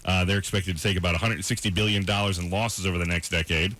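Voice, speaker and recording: A man's voice: deep voice, steady tone, quick pace, sharp tone. An American man co-hosting a radio show, explaining the news informally and paraphrasing as he reads, in a conversational back-and-forth style. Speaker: radio morning show news presenter and co-host. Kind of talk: conversational radio news rundown with co-host banter. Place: radio studio.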